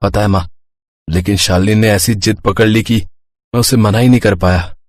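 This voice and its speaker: Man playing a low-voiced, earnest poor young husband. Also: fairly even intonation, normal speed, darkish tone, no room sound, close to an everyday voice. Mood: apologetic. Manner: earnest, explanatory. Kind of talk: moral-story cartoon dialogue.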